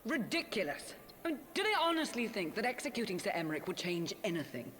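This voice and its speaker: An actress playing an indignant, dutiful knight officer in a fantasy video game. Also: female voice, medium pitch, sing-song, quick pace. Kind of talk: dramatic video game voice acting. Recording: music loud behind the voice.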